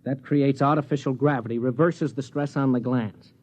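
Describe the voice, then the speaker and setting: An actor playing a devoted but stubborn rocket pilot husband in 1950s American radio drama style. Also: male voice, mid-range voice, fairly even intonation, normal speed, darkish tone, lightly acted. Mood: earnest, explanatory. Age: adult. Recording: muffled vintage 1950s radio recording.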